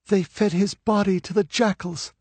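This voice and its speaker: A desperate young noblewoman, near tears, pleading in a high, strained voice that climbs well above a man's own register, as a male narrator puts her on. The voice is a little louder than the narration and a bit husky, recorded dry and close. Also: steady tone, moderate pace, dry recording. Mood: grieving.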